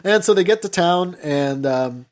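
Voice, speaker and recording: A man hosting a podcast, recapping films casually in halting, colloquial speech with some swearing. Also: high-pitched, animated. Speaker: podcast host. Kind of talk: casual film discussion and recap.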